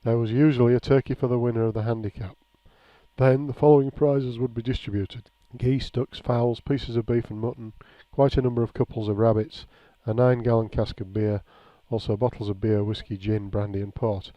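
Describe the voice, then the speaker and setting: A man's voice: medium pitch, steady tone, a little fast. Man reading memoirs, dull echoey sound. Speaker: reader of a written local-history account. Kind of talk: reading aloud a nostalgic written memoir.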